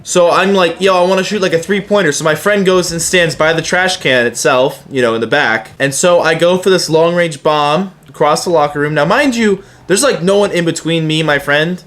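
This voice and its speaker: A young man with a slightly high voice tells stories about himself like a YouTuber chatting to viewers. His delivery is casual and slangy, with some swearing. He slips into quoted back-and-forth dialogue as he goes. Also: brisk, few pauses, a bit bright. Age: young adult. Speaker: YouTuber telling personal stories. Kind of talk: casual anecdotal storytelling.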